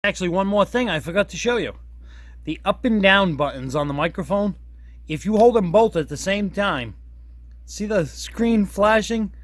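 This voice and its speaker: A man's voice: high voice, lively intonation, moderate pace, no echo. A man giving a casual, unscripted hands-on product demonstration, folksy and self-deprecating, often rambling and correcting himself as he goes. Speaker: product reviewer demonstrating a radio.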